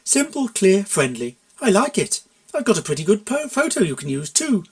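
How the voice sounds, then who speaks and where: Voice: mid-range voice, big pitch swings, moderate pace, pitched above the performer's own voice. A storyteller voicing a feisty, sarcastic young cartoon pony mare who is easily exasperated, in a fan fiction reading. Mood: pleased surprise. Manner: sharp, sarcastic, emphatic.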